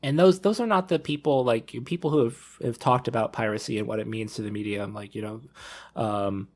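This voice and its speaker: A man's voice: on the low side, fairly quick, a little mellow. A thirty-year-old man talking casually on a panel discussion, rambling as he repeats and rephrases himself. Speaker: podcast panelist.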